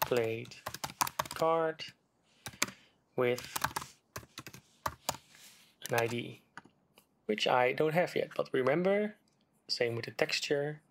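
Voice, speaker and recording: A man giving a screen-recorded coding tutorial, explaining step by step in a relaxed way while he types. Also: mid-range voice, creaky, noisy background. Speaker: game development tutor. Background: keyboard typing and mouse clicks.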